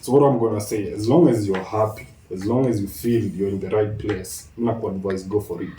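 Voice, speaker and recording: A man's voice: lowish voice, brisk, mellow. Young male, Kenyan accent, opinionated casual talker, off-mic in a roomy space with faint noise. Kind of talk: casual debate, switching between English and Swahili slang. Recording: echoey, noisy, distant from the mic.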